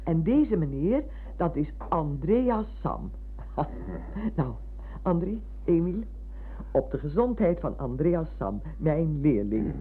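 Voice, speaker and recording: An actress playing a warm, chatty older former schoolteacher in a Dutch radio play, full of exclamations. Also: female voice, low-pitched, sing-song, slow pace, slight background noise, full character voice. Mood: chatty, insistent. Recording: muffled lo-fi old radio recording.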